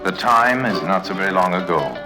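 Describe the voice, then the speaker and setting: A man's voice: deep voice, expressive, brisk, music in the background. An actor narrating a 1950s radio drama as a wry, knowing con man telling his own tale. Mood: wry, scene-setting. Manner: wry, storytelling. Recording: vintage 1950s radio recording.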